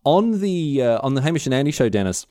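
A man's voice: medium pitch, sing-song, quick pace, warm tone, no room sound, clean studio sound. A young man with a city Australian accent, co-hosting a podcast in a casual, joking way with lots of restarts. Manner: chatty, joking, many restarts. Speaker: podcast co-host. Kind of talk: casual chat.

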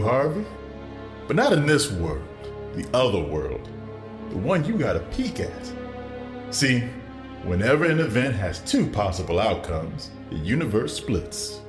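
An actor playing a wounded politician haunted by his other self, in a dramatic, halting audio drama delivery. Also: medium pitch. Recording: echoey, loud music underneath.

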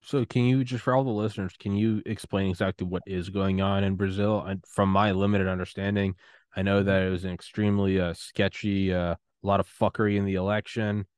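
Man, podcast host, very low pitch, dry close sound, smooth voice, crude banter. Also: flat delivery, a little fast, darkish tone, no echo. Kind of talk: casual podcast interview.

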